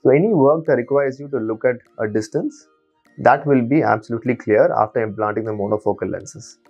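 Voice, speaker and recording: A man in his thirties, an eye surgeon, calmly and methodically explaining to patients from a small clinic office on a lapel mic. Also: medium pitch, fairly quick, breathy edge, dull audio, soft background music. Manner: calm, methodical, steady explainer. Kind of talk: explanatory patient education talk.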